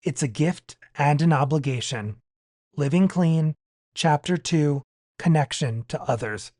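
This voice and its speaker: A man's voice: fairly high pitch, a little slow, darkish tone, dry recording, studio quality. A man reading a daily reflection aloud for a podcast. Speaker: podcast guest reader.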